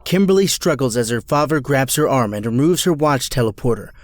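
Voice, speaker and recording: A man serves as narrator of a scripted audio drama. His voice sits at a medium pitch in a dry, close space. He describes the action in a measured, dramatic manner. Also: lively intonation, no room sound.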